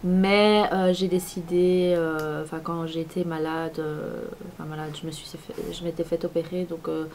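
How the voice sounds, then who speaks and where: Woman, 20s, lowish voice, chatty vlogger, lots of hesitations, light background hiss. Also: flat delivery, normal speed, hardly any pauses, darkish tone. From Belgium. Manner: chatty, many fillers and restarts. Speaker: beauty vlogger. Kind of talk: casual talk to camera. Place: apartment at home.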